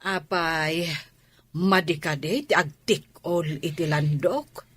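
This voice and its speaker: A woman's voice: fairly low pitch, steady tone, takes it slowly, a bit bright, husky, no room sound, full character voice. An actress playing a worried, protective mother in a radio drama, pleading in a fretful, hesitant way.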